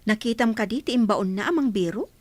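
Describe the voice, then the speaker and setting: A woman's voice: a little high, fairly quick, dry recording, close to an everyday voice. A woman with an Ilocano accent playing a curious, skeptical coworker in a radio drama.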